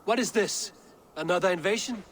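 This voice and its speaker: An actor playing a proud, brooding ruler of the gods with a grand, commanding manner in a game cutscene. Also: male voice, high voice, a little fast, fairly bright tone, a little hiss or hum. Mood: alarmed. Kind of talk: dramatic video game cutscene acting.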